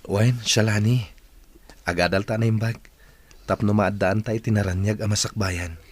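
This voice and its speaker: An actor playing a gentle, reassuring young man in a radio drama. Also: lowish voice, fairly even intonation, normal speed, lightly acted. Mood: gentle, affectionate. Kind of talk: Ilocano radio drama acting.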